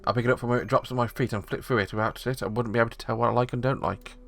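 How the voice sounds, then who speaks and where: A man's voice: medium pitch, steady tone, very fast, vocal fry, a bit bright, soft background music. A man reading the narration of a visual novel aloud, steadily, with small slips.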